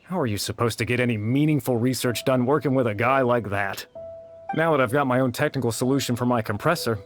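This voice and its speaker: A principled researcher, voiced by a man at a middle pitch, dry and matter-of-fact. Soft game music plays behind him. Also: fast talker, lightly acted. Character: principled, aloof male researcher. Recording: game dialogue over music.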